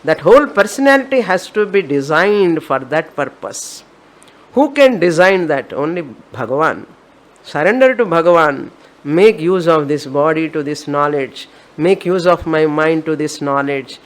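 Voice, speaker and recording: A woman's voice: low-pitched, lively intonation, measured pace. A woman with an Indian accent, a spiritual teacher giving an emphatic, repetitive discourse on scripture.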